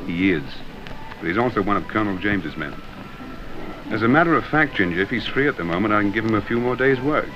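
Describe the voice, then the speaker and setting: Quick-talking, low-voiced secret agent hero, played by an actor. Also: male voice, lively intonation, close to an everyday voice. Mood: brisk, instructing. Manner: brisk, decisive, explanatory. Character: confident, commanding male secret agent hero. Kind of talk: 1960s radio drama acting. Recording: dull 1960s radio recording with music.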